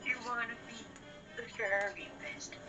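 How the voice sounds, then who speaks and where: Slow-talking woman bantering over game music. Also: fairly high pitch, poor audio. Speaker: co-host of a gaming channel. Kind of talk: casual let's play banter. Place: indoors, in front of a TV. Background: video game music.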